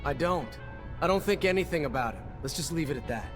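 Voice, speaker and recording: An actor playing a cynical veteran soldier in a video game, gruff and blunt in manner. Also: male voice, a little high, lively intonation, fast talker, natural voice. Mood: guarded, curt. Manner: gruff, sardonic, blunt, swearing. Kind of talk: video game dialogue acting. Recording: music behind the voice.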